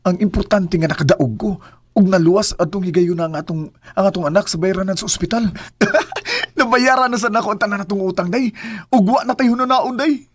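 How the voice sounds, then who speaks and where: An earnest husband and father in a homemade radio drama, speaking with a Cebuano accent, as a man acts him out. His voice is husky and sits slightly high. He keeps turning to his wife with gentle endearments. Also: a little fast, no echo. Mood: pleading. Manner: earnest, says "day" often. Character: struggling husband and father, Jemar. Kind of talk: amateur radio drama acting.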